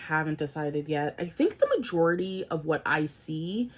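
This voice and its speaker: A woman's voice: deep voice, normal speed, husky. Congested, chatty young woman vlogger. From Canada. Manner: animated, rambling, lots of "like" and "um". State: congested from a cold, a bit nasal. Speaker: beauty vlogger. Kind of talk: casual chatty product talk. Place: indoors at home, seated in a chair.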